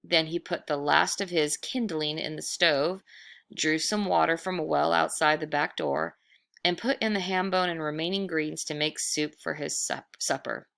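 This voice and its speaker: A woman reading a children's story aloud with expressive, dramatic narration, stretching words for effect. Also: low voice, normal speed, creaky.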